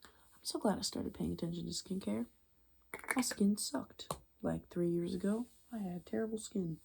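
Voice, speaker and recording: Woman ASMR host: gravelly, dark soft-spoken voice with whispery stretches, chatty and meandering. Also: medium pitch, normal speed, a little hiss or hum. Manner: rambling, conversational, confiding. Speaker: ASMR video creator and host.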